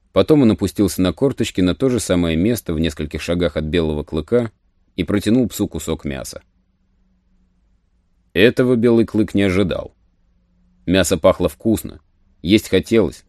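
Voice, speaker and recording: A man narrating an adventure story in a measured, even storytelling manner. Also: low voice, no echo, clean studio sound. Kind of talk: scripted narration of a radio play.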